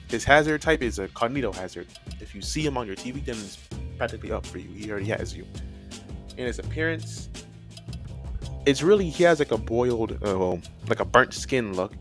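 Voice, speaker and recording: A man with a lowish voice talks casually as the host of an explainer video. He rambles, hedges and circles back to restate his points. Faint music plays behind him. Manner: rambling, hedging, often repeating and correcting himself. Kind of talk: casual, loosely structured explainer commentary.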